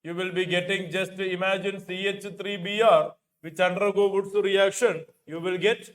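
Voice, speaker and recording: A man's voice: sits high, steady tone, moderate pace. A middle-aged man with an Indian accent teaching an exam coaching class, repeating key phrases for emphasis. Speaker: chemistry teacher. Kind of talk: exam coaching lecture working through questions. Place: indoor teaching studio with a digital board. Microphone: lapel microphone.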